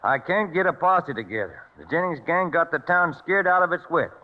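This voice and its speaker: An American actor playing a beleaguered, defensive small-town sheriff in an old radio western, with folksy speech. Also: male voice, on the high side, animated, moderate pace, lightly acted. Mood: worried. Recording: thin, muffled old radio recording.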